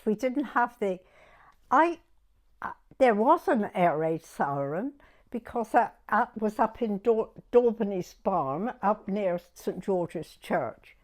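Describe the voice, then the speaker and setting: Slow-talking elderly southern English woman reminiscing. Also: mid-range voice, a little mellow. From Dorset, England. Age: 90s. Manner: rambling, often breaking off and rephrasing mid-sentence. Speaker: oral history interviewee. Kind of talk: informal spoken reminiscence.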